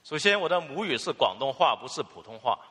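Bright, lively sermon interpreter. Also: male voice, medium pitch, fast talker, slightly rough, long reverb tail. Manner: fluent, with filler particles and restarts.

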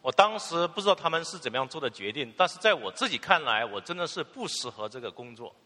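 Bright, lively sermon interpreter. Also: male voice, fairly high pitch, quick pace, long reverb tail. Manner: fluent, with filler particles and restarts.

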